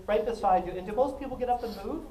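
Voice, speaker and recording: A woman giving a self-defense talk, animated and jokey, often laughing and acting out what people said. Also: a little high, fast, a crowd in the background. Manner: animated, jokey, laughs a lot, acts out quotes. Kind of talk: lively storytelling talk to a class. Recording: echoey room, mic at a distance, faint noise.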